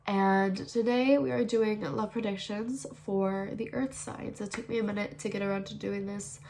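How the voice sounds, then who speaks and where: A woman's voice: medium pitch, steady tone, normal speed, a little mellow, a little hiss or hum. Congested woman reading tarot. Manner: chatty, thinks aloud, repeats "all right". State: congested, sniffling. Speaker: tarot reader, channel host. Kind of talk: casual tarot reading to camera.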